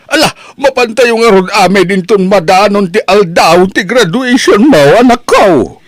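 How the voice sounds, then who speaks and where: A man playing an emotional, doting rural father in an audio family drama, with drawn-out exclamations. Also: sits high, animated, no echo. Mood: overjoyed, tearful. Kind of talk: Ilocano radio-style drama acting.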